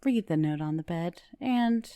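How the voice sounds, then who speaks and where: Smooth, mellow mysterious woman an actress's role. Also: on the low side, expressive, unhurried. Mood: calm, cryptic. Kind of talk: audio drama acting.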